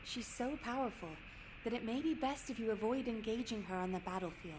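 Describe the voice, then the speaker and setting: A woman's voice: on the high side, brisk, close to an everyday voice. A woman playing a worried, formal military operations officer in a video game, speaking earnestly and articulately. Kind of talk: scripted video game dialogue. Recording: noisy game capture with ambient sound.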